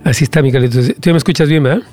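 A male pastor of middling pitch leads prayer with fervent, rhythmic repetitions. His voice lifts noticeably when he argues. The sound is dry and close, with no room echo. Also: racing, faint music behind. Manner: animated, rising pitch when arguing a point. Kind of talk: live-streamed sermon and prayer with Q&A.

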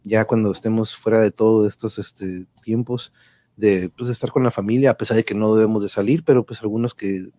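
A man's voice: on the low side, fairly even intonation, brisk, mellow, no room sound. A man with a Mexican accent hosting a casual live-stream chat, informal and sociable, dropping in English words now and then. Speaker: host of an online live show.

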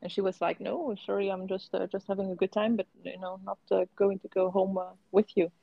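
A woman hosting a podcast, telling a friend's story in a halting way with lots of fillers. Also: medium pitch, flat delivery, gravelly, no room sound. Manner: hesitant, with many fillers. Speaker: podcast host. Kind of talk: podcast conversation. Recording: dull, lo-fi, dry microphone.

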